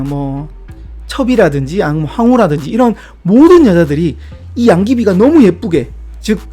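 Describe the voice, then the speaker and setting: A man's voice: sits high, warm tone. A man hosting a casual video lesson on word origins, chatty and given to light self-deprecating asides. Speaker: book-review video host. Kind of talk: casual explanatory talk about word origins.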